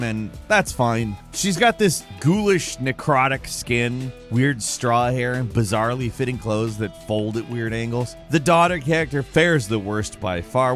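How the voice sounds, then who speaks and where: A man hosting a countdown video, reading scripted commentary with sardonic, colloquial asides. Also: mid-range voice. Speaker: video host.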